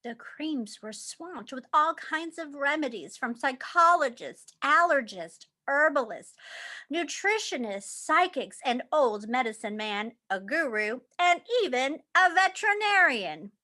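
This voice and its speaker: Bright, high-voiced woman narrating a storybook. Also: lively intonation, a little slow. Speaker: read-aloud reader. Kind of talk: expressive storybook narration for young children.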